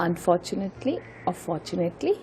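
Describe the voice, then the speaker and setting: A woman's voice: lowish voice, darkish tone. A woman playing a bookish, teasing young Indian student in an audio drama, with an Indian accent. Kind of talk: scripted audio drama dialogue.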